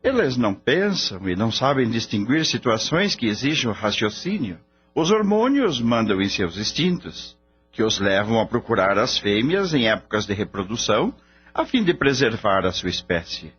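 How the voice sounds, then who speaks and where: An actor playing a grave veterinarian in a radio drama, speaking Brazilian-accented Portuguese in a formal, explanatory manner. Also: male voice, mid-range voice, big pitch swings, normal speed, a little hiss or hum, close to an everyday voice. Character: grave male veterinarian explaining a risky foaling. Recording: dull lo-fi radio drama with music.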